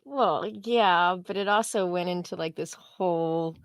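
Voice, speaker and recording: Lowish-voiced woman co-host, dry close sound. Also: measured pace, clean tone, no room sound. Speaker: show co-host and editor. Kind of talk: casual chat.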